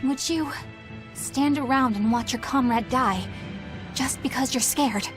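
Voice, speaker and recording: A woman's voice: high voice, measured pace, over music. An actress playing a prim, principled young woman warrior in a video game, formal and easily exasperated. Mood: troubled, reflective. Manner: proper, formal, indignant. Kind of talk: English video game voice acting.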